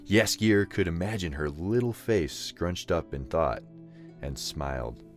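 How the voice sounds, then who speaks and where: A man's voice: deep voice, expressive, measured pace, soft background music. A man narrating a scripted fantasy story expressively as the narrator of an audio drama.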